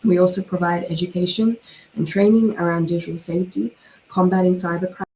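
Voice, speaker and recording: A hoarse woman with a cold, giving a formal prepared talk over a video call from home at night. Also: lowish voice, steady tone, darkish tone, poor audio. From Australia. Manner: measured, formal, with occasional fillers. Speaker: panel speaker, cyber criminologist. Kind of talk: formal prepared online presentation.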